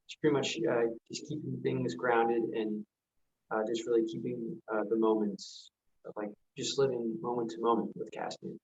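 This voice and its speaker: A man answering casually as an actor guest on a video call, tentative and halting as he explains himself. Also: mid-range voice, a little mellow, slight background noise. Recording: dull, lo-fi call audio.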